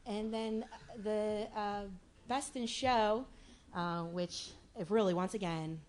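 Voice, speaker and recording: A woman with a raspy voice addressing a city commission meeting in a chatty way, with many fillers and restarts. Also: medium pitch, steady tone, slow, darkish tone.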